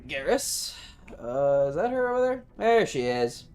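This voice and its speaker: A man's voice: on the high side, very animated, measured pace, a little mellow. Sweary male streamer chatting over game music. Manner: sweary, rambling. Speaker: live streamer. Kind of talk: casual gaming stream chat.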